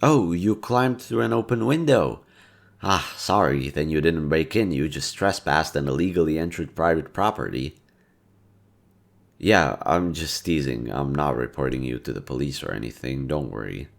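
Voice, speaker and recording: A young man in an audio roleplay, talking to a listener in a soft-spoken, laid-back and teasing way. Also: a bass voice, expressive, moderate pace, creaky. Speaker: voice actor.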